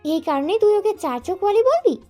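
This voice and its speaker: A woman puts on a startled, protective young woman for a romantic audio story. The voice is extremely high and soft-edged, with music playing behind it. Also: lively intonation, brisk. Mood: defensive. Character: surprised, defensive young woman in the family. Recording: music under the voice.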